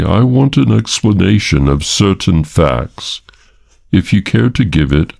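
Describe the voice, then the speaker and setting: A man's voice: sits low, vocal fry, a little mellow, pitched above the performer's own voice, heavily acted. A man reading an audiobook, voicing a grim police inspector who questions in a clipped, formal manner. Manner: clipped, formal, coolly pressing. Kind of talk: audiobook character voice.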